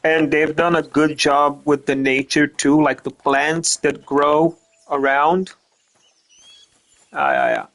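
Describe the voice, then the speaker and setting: A streamer talks through his gameplay in a flat, even voice. He rambles, restarts his sentences over and over, and swears without a second thought. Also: fairly high pitch, slow pace, rough recording. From Romania. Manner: rambling, stumbles over repeated words, swears casually. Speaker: gaming streamer. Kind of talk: casual gameplay commentary.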